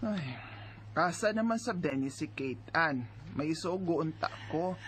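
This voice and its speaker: A woman's voice: low-pitched, lively intonation, measured pace, faint music behind. A woman acting a strict, worried middle-aged mother who scolds with indignant exclamations in a Bisaya radio drama. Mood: looking around.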